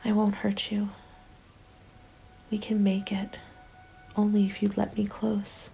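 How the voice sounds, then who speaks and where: Weary female apocalypse survivor, played by a woman, ASMR narration: hushed and whispery, dark mellow tone, flat melody, slow delivery. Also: medium pitch. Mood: soothing, tense. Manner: hushed, slow, breathy, often dropping to a whisper. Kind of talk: ASMR roleplay, scripted first-person narration.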